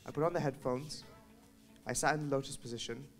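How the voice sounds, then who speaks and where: A man's voice: mid-range voice, steady tone, brisk, gravelly, soft background music. A young man on a hall stage sharing his own story of anxiety into a handheld mic, earnest and reflective. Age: young adult. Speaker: student speaker. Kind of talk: personal testimony at a panel.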